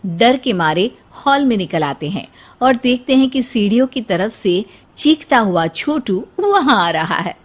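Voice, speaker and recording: A woman's voice: on the high side, expressive, measured pace. A woman narrating a scripted story as the storyteller.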